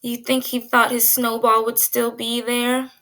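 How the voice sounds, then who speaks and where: A woman pre-K teacher giving an expressive read-aloud lesson, drawing out key words and putting guiding questions to young listeners. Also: a little high. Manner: drawn-out emphasis on key words.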